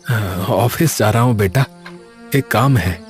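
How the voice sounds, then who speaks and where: A man voicing a tender, anxious, struggling father in an animated story, with earnest and reassuring speech. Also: fairly low pitch, unhurried, voice pushed down, natural voice. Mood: tender, evasive. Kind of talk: animated story character dialogue. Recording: music behind the voice.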